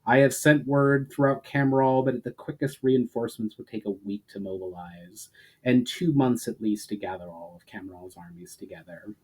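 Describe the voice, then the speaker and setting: A man's voice: lowish voice, normal speed, darkish tone, breathy edge, low-quality recording. A man voicing a sober male military commander reporting in a fantasy storybook. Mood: grave report.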